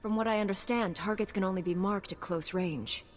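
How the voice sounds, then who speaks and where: An actress playing a resolute young female knight in a video game, calm, direct and earnest. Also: mid-range voice, fairly quick, long reverb tail, natural voice. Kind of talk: video game cutscene acting.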